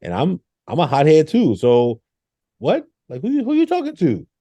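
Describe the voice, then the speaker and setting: A middle-aged man, a former engineer turned teacher, telling animated stories in an interview, acting out quotes and laughing often. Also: a little high, moderate pace, no echo. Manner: lively, acts out quotes with laughs.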